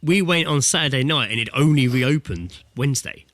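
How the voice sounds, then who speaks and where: A man's voice: mid-range voice, lively intonation, brisk, fairly bright tone. A man with a southern English accent co-hosting a casual comedy podcast, telling rambling, jokey stories in a chatty way. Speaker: podcast co-host.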